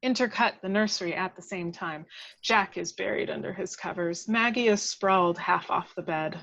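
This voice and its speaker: A woman's voice: on the low side, normal speed, vocal fry, a bit bright, no echo. Woman narrating a script, dry lo-fi sound. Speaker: script reader of action descriptions. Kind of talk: scripted narration at a table read.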